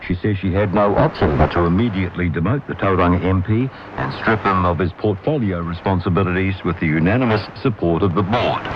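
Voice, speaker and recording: A man reading a formal radio news bulletin in a measured way, heard through noisy shortwave reception. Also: sits low, highs cut off. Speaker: newsreader.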